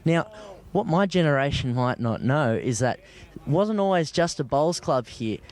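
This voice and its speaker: A man's voice: on the high side, lively intonation, normal speed, a little mellow. A young Australian man working as a sports reporter, conducting a local TV interview.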